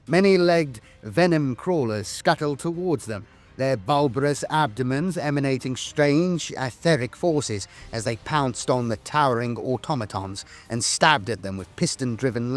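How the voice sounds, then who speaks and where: A man's voice: medium pitch, lively intonation, normal speed. A man delivering scripted lore narration in a grandiose, theatrical storytelling manner. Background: background music.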